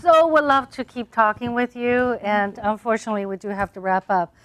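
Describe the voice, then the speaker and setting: A woman's voice: on the high side, a little fast, a little hiss or hum. A woman hosting a panel talk show, affirming her guests and guiding the talk. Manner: affirming, steady listening murmurs.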